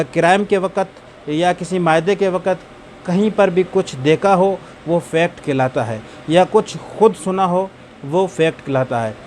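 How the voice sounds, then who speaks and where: Higher-pitched Pakistani man giving a lecture. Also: slight background noise. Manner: repeats key points with rhetorical questions. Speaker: law lecturer. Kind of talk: explanatory lecture mixing in English legal terms.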